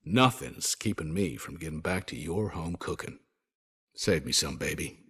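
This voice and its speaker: A man's voice: extremely low pitch, moderate pace, fairly bright tone, slightly rough, full character voice. A male actor playing a stranded 1940s American soldier who narrates letters home in a wry, folksy, wisecracking way. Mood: exasperated, reassuring. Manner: folksy, wisecracking, old-fashioned slang. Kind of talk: scripted first-person letter narration, in character.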